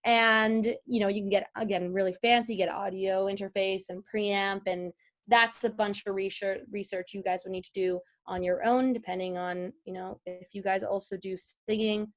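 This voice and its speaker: A woman's voice: mid-range voice, steady tone, normal speed. A woman voice-over artist teaching a class in a conversational online tutorial, chatty and enthusiastic as she explains.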